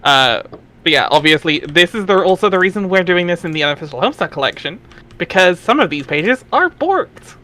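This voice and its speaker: A man's voice: high voice, very animated, brisk, bright, forceful tone. A man chatting casually and slangily, with some swearing, as co-reader and commentator during a group reading.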